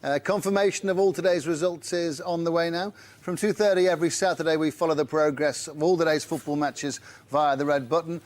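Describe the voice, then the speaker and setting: A man's voice: on the high side, steady tone, brisk, darkish tone. A man presenting live football results from a studio, linking to match reporters.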